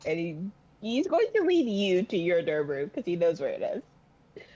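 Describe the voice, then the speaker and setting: A woman runs a tabletop game, describing the scene with a playful, teasing edge and casual swearing. Her voice sits low and mellow. The audio is dull and lo-fi, like a home stream. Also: sing-song, moderate pace. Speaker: game master.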